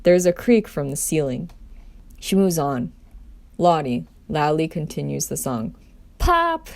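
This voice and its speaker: A woman's voice: low-pitched, a little slow, vocal fry, warm tone. A woman doing an amateur table read as storyteller, narrating a script in her own voice.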